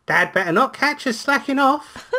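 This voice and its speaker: A man's voice: extremely high pitch, animated, moderate pace, bright, forceful tone, heavily acted. A man voicing a teasing, easygoing young male cooking student from a visual novel. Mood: teasing, flippant. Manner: flippant, teasing. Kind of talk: visual novel dub with banter.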